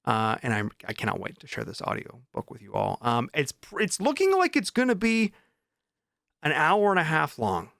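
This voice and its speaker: A man's voice: fairly high pitch, huge pitch swings, moderate pace, creaky, fairly bright tone, dry recording, clean studio sound. A man chatting casually as a vlog host, informal and enthusiastic about his writing. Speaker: vlog host and indie author.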